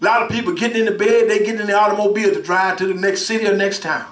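High-voiced, bright preaching man. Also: steady tone, rapid-fire. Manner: preaching with call-and-response, repeats words, swings between shouting and soft asides. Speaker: minister. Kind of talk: sermon. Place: church sanctuary, indoors, at a pulpit. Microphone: pulpit mic from a far camera. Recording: roomy church sound from far off.

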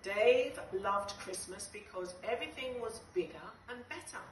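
A middle-aged woman reading a picture book to young children in an expressive, deliberate way with dramatic pauses. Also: medium pitch. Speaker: nursery school storyteller. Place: small nursery classroom, indoors. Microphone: camera a few metres away. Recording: roomy, distant, noisy phone-style video.

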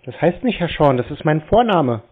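A man on a phone call, correcting the other person in a pedantic, deadpan way. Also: on the high side, moderate pace. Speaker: prank call recipient. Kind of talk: phone conversation. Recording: narrow lo-fi phone audio.

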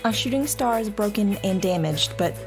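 Actress as a tender young wife over music. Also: female voice, medium pitch, animated, moderate pace, close to an everyday voice.

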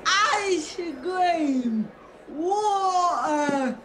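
A young woman playing a brash, greedy pantomime ice-cream usherette, blunt and shouty. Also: very high voice, animated, very slow, noisy background, exaggerated voice. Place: living room at home. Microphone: video call.

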